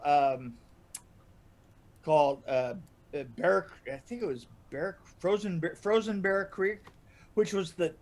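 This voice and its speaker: Older man, high-pitched voice, casual storyteller, rambling and halting. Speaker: podcast storyteller guest. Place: indoor room on a video call.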